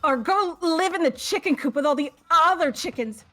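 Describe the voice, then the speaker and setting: A woman's voice: high voice, lively intonation, normal speed, bright, forceful tone, soft background music. A woman voicing a haughty young woman from a video game, scornful and theatrical, gushing over her master. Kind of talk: amateur voice acting of a video game.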